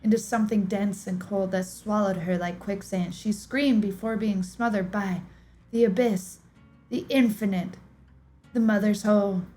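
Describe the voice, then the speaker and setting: A woman delivers a dramatic story reading in a theatrical, measured way. Her voice sits at an ordinary female pitch, and music plays behind her. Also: steady tone, warm tone. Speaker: streamer. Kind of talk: dramatic reading of game lore.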